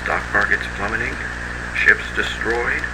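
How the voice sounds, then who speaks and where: A man's voice: on the low side, measured pace, natural voice. A man playing a grave agency spokesman, narrating a scripted analog horror broadcast in a solemn, formal announcer's manner. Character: grave male spokesman for a monster-response agency. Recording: heavy radio-like background noise.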